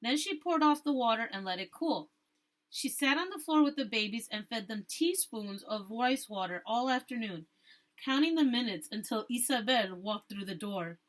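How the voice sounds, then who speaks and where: A woman teacher reading a storybook aloud, rereading stumbled phrases and pausing to explain things to her listeners. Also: a little high, lively intonation, low-quality recording.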